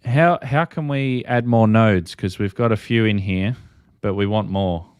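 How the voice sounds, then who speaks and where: Male livestream host, slightly deep, casual and unscripted, recorded dry. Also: unhurried, clean tone, no room sound. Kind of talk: casual live-stream chat about ham radio.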